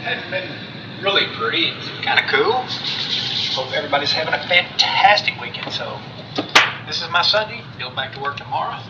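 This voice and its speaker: A man's voice: fairly high pitch, lively intonation, normal speed. A man hosting a gaming channel, chatting casually and gratefully to viewers while he plays at home. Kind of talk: casual gameplay commentary. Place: indoor room at home. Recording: roomy, dull, with loud background noise.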